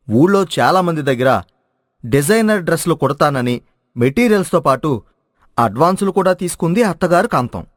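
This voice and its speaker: A man's voice: fairly high pitch, dry recording, clean studio sound. A man narrating a scripted moral story.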